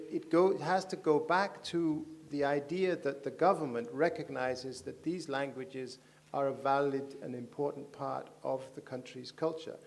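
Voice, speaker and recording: Brief: man, panel novelist, thoughtful, restarts often, gravelly. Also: mid-range voice. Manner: thoughtful, halting, with many restarts. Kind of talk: panel discussion. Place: large festival stage.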